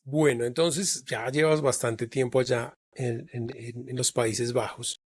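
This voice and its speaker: A man's voice: medium pitch, fairly even intonation, a little slow, breathy edge, no echo. A man hosting an interview podcast, greeting and questioning his guest in a friendly, formal way. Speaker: podcast host.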